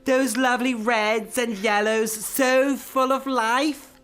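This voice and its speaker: An actress playing an overzealous new-age rebirthing guru in a darkly comic radio drama, theatrical and preachy. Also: female voice, a little high, steady tone, slow pace, fairly bright tone, clean tone. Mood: serene, gushing.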